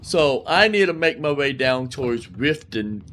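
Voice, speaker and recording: A man's voice: medium pitch, animated, measured pace, a bit bright, slight background noise. A man hosting casual gameplay commentary, rambling and repeating himself as he talks back to game characters. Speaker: gaming host.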